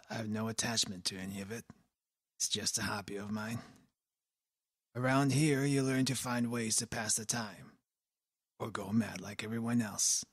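Man voicing a wry, husky plush shopkeeper. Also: fairly low pitch, fairly bright tone, no room sound, studio quality, heavily acted. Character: wry, world-weary male stuffed-animal shopkeeper. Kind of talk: video game character voice practice.

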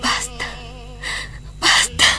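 An actress playing an anxious, secretive young sweetheart in a tango radio play, pleading dramatically with an Argentine accent. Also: female voice, extremely slow, sharp tone, full character voice. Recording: music behind the voice.

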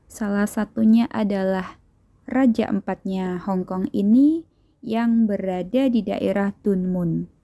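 A woman's voice: mid-range voice, slow, mellow, no echo. A woman narrating a hiking vlog. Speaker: vlogger.

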